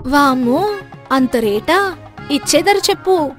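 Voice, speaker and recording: Woman acting a shrill-pitched, bossy young daughter-in-law. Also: animated, takes it slowly, faint music behind. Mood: bossy, haggling. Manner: bossy, argumentative. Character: brazen, stingy young daughter-in-law, a crafty haggler.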